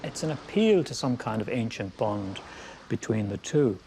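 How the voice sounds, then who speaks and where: A man, a historian, explaining things in an animated way in a documentary interview and thinking aloud as he goes. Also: mid-range voice, moderate pace, noisy background.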